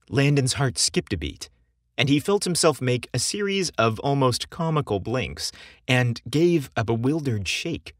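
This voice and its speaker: A man narrates a scripted romance story with a widely moving, expressive pitch. His voice is slightly husky and measured, recorded dry with no room sound. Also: no echo.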